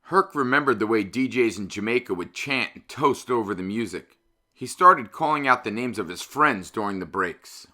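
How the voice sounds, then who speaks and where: A man in his fifties hosting a children's read-aloud, speaking expressively with emphatic, deliberate phrasing. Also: fairly low pitch, expressive, bright, forceful tone. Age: 50s.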